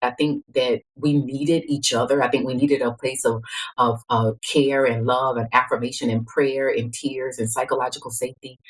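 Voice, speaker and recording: Woman, husky voice, earnest, restarts often, interview guest. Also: a bass voice, steady tone, normal speed, poor audio. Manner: earnest, searching, many restarts. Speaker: book project organizer. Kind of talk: conversational podcast interview.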